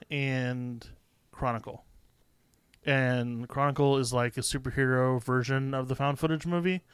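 A man in casual podcast conversation, rambling through a film's plot with easy fillers. His voice is smooth and resonant, recorded close with almost no room sound. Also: medium pitch, no room sound. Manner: laid-back, rambling with fillers and restarts. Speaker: podcast co-host. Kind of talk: casual movie review chat.

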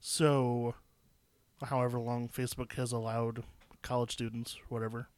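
A man's voice: medium pitch, little pitch movement, moderate pace, clear, resonant tone. Stuffy-nosed man, nasal and dry. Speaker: podcast co-host. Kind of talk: casual chat. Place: cold basement.

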